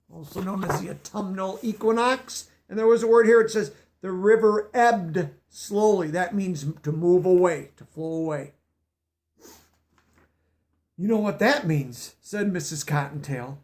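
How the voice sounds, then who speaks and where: A man's voice: high voice, a little mellow. A man reading a children's picture book aloud, stopping to explain words in a teacherly way. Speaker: storybook reader.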